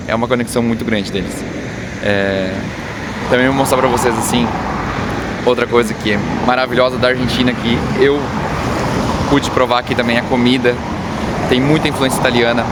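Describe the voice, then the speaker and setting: A man with a Brazilian accent chatting casually to viewers as a travel vlogger, in a hesitant, rambling way. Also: medium pitch. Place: outdoors on a city street. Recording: loud traffic and street noise.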